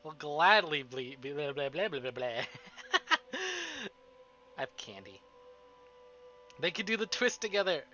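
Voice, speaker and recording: A man with a high, very bright voice gives live game commentary. His reactions are excitable and gushing. The stream audio is slightly dull, with game music behind him. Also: unhurried, long pauses.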